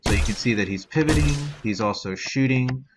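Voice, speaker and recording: A man giving a casual software tutorial as an instructor, explaining in an informal, rambling way. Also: medium pitch, a little fast. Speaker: game development instructor. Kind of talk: casual screen-recorded software tutorial walkthrough. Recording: dull lo-fi mic with background noise.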